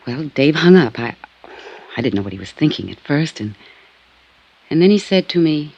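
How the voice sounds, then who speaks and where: An actress playing a troubled American woman in her late twenties in a 1950s radio drama, speaking in a subdued, halting way. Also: low-pitched, sing-song, darkish tone, husky, lo-fi sound, exaggerated voice. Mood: anxious, halting. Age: young adult.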